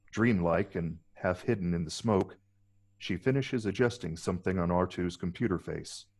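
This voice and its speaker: A man's voice: deep voice, creaky, a little mellow, husky. A man narrating stage directions from a film script in a dramatic table read.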